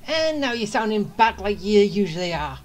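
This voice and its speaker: A woman's voice: medium pitch, very animated, normal speed. A woman giving casual, chatty gameplay commentary, musing aloud as she plays. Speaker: game streamer. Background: game music.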